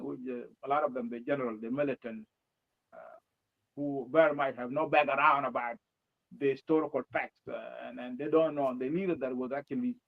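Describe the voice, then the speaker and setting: A man with a South Sudanese accent, speaking English learned later in life, answering interview questions in a halting way with many restarts. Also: mid-range voice, creaky. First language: his mother tongue and Arabic. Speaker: guest, presidential candidate. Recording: dull lo-fi sound.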